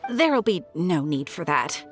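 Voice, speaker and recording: Dry, skeptical shopkeeper over music. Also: female voice, low-pitched, very animated. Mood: dry, exasperated. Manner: dry, measured, sarcastic. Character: skeptical, practical female small-business bookseller. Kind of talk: video game voice acting.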